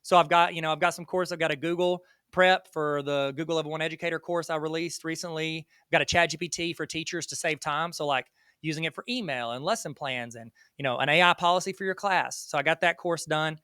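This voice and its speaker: A man's voice: fairly high pitch, fast, bright, forceful tone, clean tone, dry recording, clean studio sound. A man with a Southern US accent, a guest on an interview, talking in a rambling, joking, self-deprecating way. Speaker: guest educator. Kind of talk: casual interview chat.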